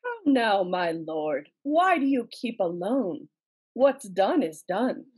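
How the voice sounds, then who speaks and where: A woman in an amateur Shakespeare reading, playing a worried, coaxing noblewoman with a husky voice. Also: medium pitch, sing-song, slow pace, darkish tone, heavily acted. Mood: concerned. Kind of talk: amateur readers' theater, Shakespeare. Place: dim room at home on a video call.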